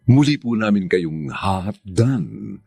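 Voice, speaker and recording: Deep, gravelly Filipino radio drama announcer. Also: male voice, animated, mellow, no echo. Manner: grand, measured, dramatic. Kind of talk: formal scripted radio sign-off narration. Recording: music under the voice. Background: music.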